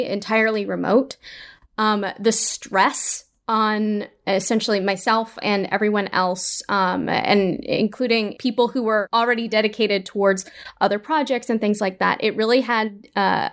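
Woman, creative guest in an interview, mid-range voice, careful and halting phrasing. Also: medium pitch. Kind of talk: conversational interview.

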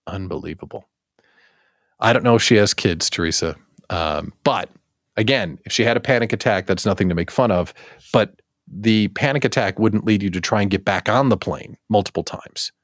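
A man's voice: lowish voice, moderate pace, no room sound, clean studio sound. An American man hosting a casual live show, chatting playfully to his audience and repeating words for emphatic comic effect. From United States. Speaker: host of a daily live show for small business owners.

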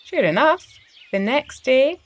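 A woman reading a story for young children in an expressive, deliberate storytelling manner, as the host of a story series. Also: high-pitched.

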